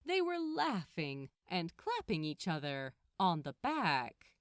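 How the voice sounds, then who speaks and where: A woman reading a story aloud in an expressive storybook narration style. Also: fairly low pitch, slow pace, no room sound, clean studio sound. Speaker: fan fiction narrator.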